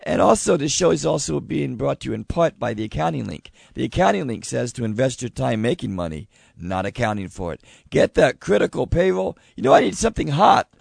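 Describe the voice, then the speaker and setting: A man hosting a live radio talk show, loud and excitable, losing his voice. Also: medium pitch, brisk, vocal fry, hardly any pauses, breathy edge, no room sound. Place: radio studio with live audience.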